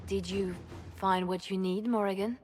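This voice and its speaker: A woman's voice: medium pitch, fairly even intonation, normal speed, darkish tone, natural voice. Woman as businesslike adviser with music behind. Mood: serious. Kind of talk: dramatic fantasy game acting.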